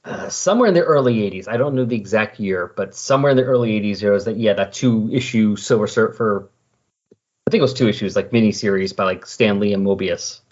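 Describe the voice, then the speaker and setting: A man with a slightly rough, husky voice hosts a casual comics podcast. He explains and recaps in a conversational way. The recording is somewhat dull and lo-fi. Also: lowish voice, brisk. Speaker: podcast host. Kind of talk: casual comic book discussion.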